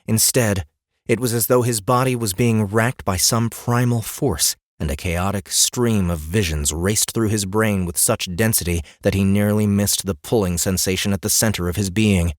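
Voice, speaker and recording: Deep-voiced man narrating fantasy. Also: fairly even intonation, dry recording, clean studio sound. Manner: deliberate dramatic phrasing with pauses. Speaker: audiobook narrator. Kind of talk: dramatic scripted fantasy narration. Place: dry recording studio.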